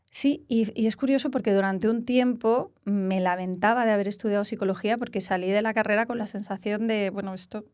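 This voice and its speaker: A woman's voice: medium pitch, steady tone, brisk, darkish tone, no room sound. Reflective Spanish woman, dry close sound. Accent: Spanish from Castilla-La Mancha. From Spain. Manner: reflective, explains with examples and rephrases. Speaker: psychologist guest. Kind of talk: conversational interview.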